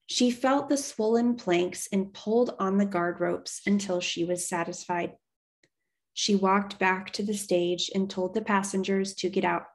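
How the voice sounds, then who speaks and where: A woman reading a children's novel aloud as an expressive storyteller. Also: mid-range voice, measured pace.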